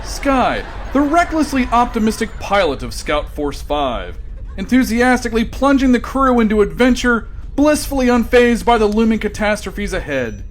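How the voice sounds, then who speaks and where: A man's voice: extremely high pitch, very animated, normal speed, a little hiss or hum. A man narrating a comedy radio drama with grand, theatrical delivery.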